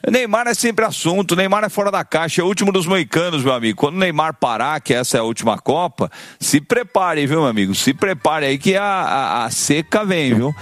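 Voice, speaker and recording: A man's voice: fairly high pitch, expressive, fairly quick, hardly any pauses, fairly bright tone, no echo. A man with a Brazilian Portuguese accent hosting a live radio sports call-in show, chatting and reading listener messages aloud.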